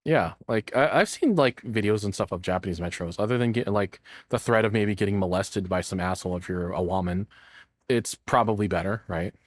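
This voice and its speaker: Low-voiced man, casual and close. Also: a little fast, dry recording. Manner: sweary and informal. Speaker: streamer reacting to a video. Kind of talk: casual reaction commentary.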